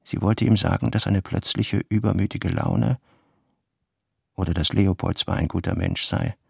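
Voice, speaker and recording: A very low male voice with heavy gravel narrates a literary radio play. He sounds brooding, and every word comes out close and dry. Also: normal speed, no echo, full character voice. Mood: brooding, tormented.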